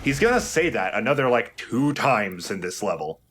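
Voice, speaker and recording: A man's voice: medium pitch, very animated, moderate pace, a bit bright, a little hiss or hum. A young man giving casual, explanatory video game commentary with some swearing. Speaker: let's play host and player.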